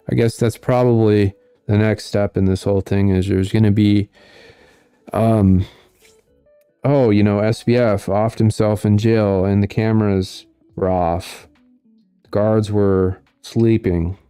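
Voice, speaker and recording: A man hosting a casual commentary stream, reading out viewer comments in a monotone way. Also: lowish voice, measured pace, smooth, dry recording, soft background music. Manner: monotone delivery. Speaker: host.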